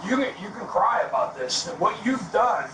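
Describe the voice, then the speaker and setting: Blunt, high-voiced male officer, echoey bodycam. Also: big pitch swings, moderate pace, fairly bright tone. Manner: blunt, scolding. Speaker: police officer. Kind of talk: stern on-scene lecture. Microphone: police bodycam. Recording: echoey, noisy distant bodycam audio.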